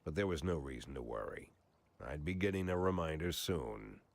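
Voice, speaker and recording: An actor playing a weary, hard-drinking older cop, dry and sardonic, in a noir video game. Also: male voice, a bass voice, lively intonation, normal speed, dry recording, heavily acted. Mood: wry narration. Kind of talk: scripted noir narration and dialogue.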